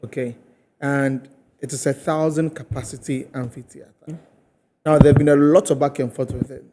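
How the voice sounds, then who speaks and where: A Ghanaian man hosting a conversational TV interview, building his points piece by piece in a halting way. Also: mid-range voice, fairly even intonation, very noisy. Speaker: TV host.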